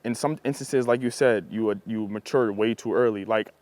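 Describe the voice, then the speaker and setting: A man's voice: lowish voice, normal speed, a little mellow, dry recording. A young man from the New York suburbs talking in an easygoing way in a casual group discussion. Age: young adult. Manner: easygoing, often adds "you know what I mean". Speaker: student panelist.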